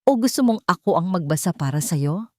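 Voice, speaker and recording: A woman voicing a wronged adult confronting a parent, bitter, deliberate and sarcastic, in an audio love story. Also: fairly low pitch, lively intonation, mellow, no room sound, clean studio sound, close to an everyday voice. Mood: cold command. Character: wronged adult child, bitter, coldly accusing their mother.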